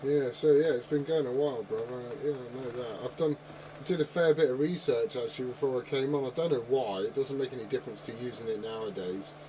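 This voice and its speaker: A man in his forties talks in a medium-pitched voice with clear radio noise around him. He chats casually, like someone hosting from his CB set. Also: fast. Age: 40s. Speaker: CB radio operator and video host. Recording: clearly noisy CB radio room ambience.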